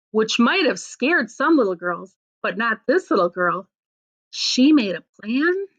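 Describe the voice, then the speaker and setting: A woman teacher reading a storybook aloud to children, in simple phrases with deliberate pauses. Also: mid-range voice, a bit bright, highs cut off. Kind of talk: storybook read-aloud with explanatory asides.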